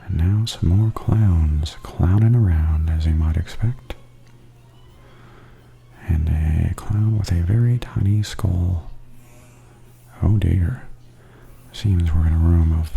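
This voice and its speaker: Very deep, hushed, slow-talking man. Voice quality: soft, breathy, half-whispered. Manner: calm, unhurried, gently descriptive. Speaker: ASMR video host and tour narrator. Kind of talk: softly spoken ASMR tour commentary.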